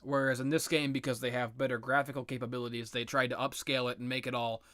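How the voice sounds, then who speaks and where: A man's voice: mid-range voice, little pitch movement, fast talker, fairly bright tone, dry recording, clean studio sound. A man giving casual, unscripted opinion commentary on video games in a blunt, sardonic, sweary way. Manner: blunt, sardonic, profane ranting. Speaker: video game commentator, host.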